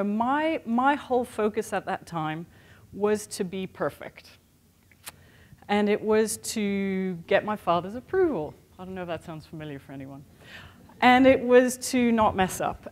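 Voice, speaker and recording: A woman in her thirties with a mixed international accent, giving a candid, conversational keynote talk to an audience in a hotel conference room. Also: mid-range voice. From born in the United States, raised in Europe and Asia. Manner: conversational and candid, with many "sort of" and "you know" fillers. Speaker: keynote speaker.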